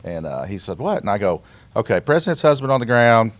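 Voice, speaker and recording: A man's voice: lowish voice, brisk, dry recording. A middle-aged man co-hosting a podcast, casually telling an anecdote and acting out bits of dialogue. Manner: animated retelling with quoted dialogue. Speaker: podcast co-host.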